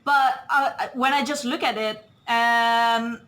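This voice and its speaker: A chatty woman from Bali in a casual podcast conversation, her voice bright and a little higher than average. She talks with loose grammar and laughs easily. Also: fairly even intonation, slow. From Bali, Indonesia. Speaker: podcast co-host.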